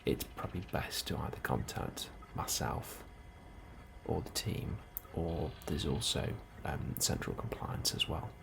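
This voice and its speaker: A man in a soft, hushed ASMR role-play, speaking calmly and unhurriedly as a helpful colony immigration officer, close to the microphone. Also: very deep voice. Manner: hushed, unhurried, sometimes dropping into whisper. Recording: clearly audible background ambience noise.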